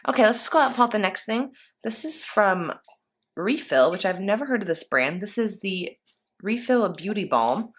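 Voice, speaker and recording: A woman's voice: mid-range voice, expressive, moderate pace, husky. A woman vlogger chatting casually while unboxing a beauty box, a little congested, rambling with fillers. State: congested from allergies. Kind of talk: casual unboxing chat to camera.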